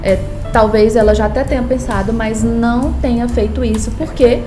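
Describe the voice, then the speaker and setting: A woman's voice: fairly high pitch, moderate pace, echoey room, over music. A woman from Brazil, a lawyer and law professor, telling her story earnestly in a podcast interview, with frequent hesitations. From Brazil, born in Paraíba, raised in Brasília.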